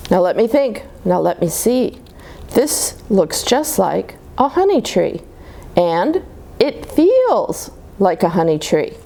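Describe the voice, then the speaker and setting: A woman's voice: medium pitch, very animated, slow, vocal fry, mellow, voice pushed down, full character voice. A woman voicing a boastful, confident father bear in a children's storybook, making pompous, emphatic pronouncements. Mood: self-assured.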